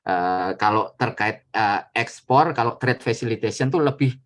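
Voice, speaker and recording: A man with a fairly low voice answers as a guest lecturer. He explains things informally and somewhat hesitantly, softening his points with little tags. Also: measured pace. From Indonesia. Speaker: trade attaché, guest lecturer.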